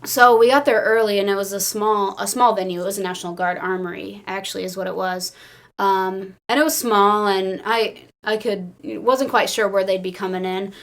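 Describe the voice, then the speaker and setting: Female co-host, mid-pitched, giggly, excitable casual storyteller. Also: normal speed. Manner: excitable, giggly, peppers talk with "like". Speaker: podcast co-host.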